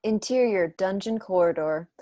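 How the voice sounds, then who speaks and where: A woman's voice: mid-range voice, moderate pace, mellow, no room sound, studio quality. A young woman reading stage directions as scripted narration for an online table read. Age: young adult. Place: room at home, on a video call.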